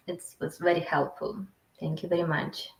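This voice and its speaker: A woman from Ukraine speaking halting, non-native English with many fillers, as a guest in a casual interview. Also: low voice, little pitch movement, vocal fry, roomy sound, low-quality recording.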